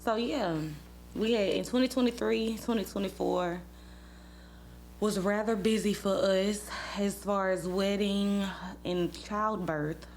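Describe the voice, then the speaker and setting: A woman in her late twenties hosts a casual chat in a medium-pitched voice. She is informal and playfully teasing as she banters and tells stories. The recording carries clear background noise and a hum. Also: fairly even intonation, measured pace, darkish tone, poor audio. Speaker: podcast host. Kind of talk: casual couple banter and storytelling.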